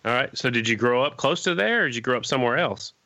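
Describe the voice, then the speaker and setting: Podcast host guy, chill friendly interviewer, bright voice. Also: mid-range voice, expressive, fast talker, dry recording. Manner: friendly, easygoing, says "right on" a lot. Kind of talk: casual interview.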